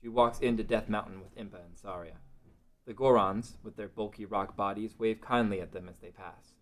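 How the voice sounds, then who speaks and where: A man with a fairly low voice narrates a fantasy adventure. He reads scripted stage directions as the narrator of a table read. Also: fairly even intonation, normal speed, a bit bright.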